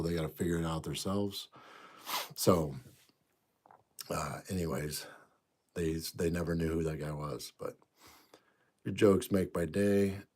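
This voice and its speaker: A man in his fifties, a bit congested, casually chatting on a livestream and answering viewer comments in an easygoing, rambling way. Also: deep voice. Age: 50s. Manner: easygoing, rambling, trails off quietly. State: congested, stuffy nose. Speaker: livestream host.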